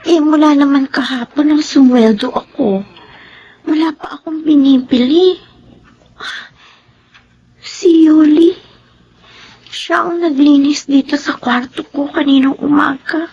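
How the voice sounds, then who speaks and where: Earnest young woman, radio drama lead and narrator: high voice, unhurried, muffled lo-fi sound over music. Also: a little mellow. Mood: worried, tearful. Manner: measured, earnest, emotive delivery. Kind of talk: Filipino radio drama acting with first-person narration.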